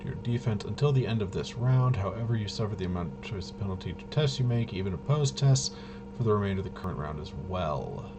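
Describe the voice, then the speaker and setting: A man thinking aloud in casual tabletop gameplay commentary, halting and muttering to himself. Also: fairly low pitch, expressive, background noise. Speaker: tabletop RPG player and presenter.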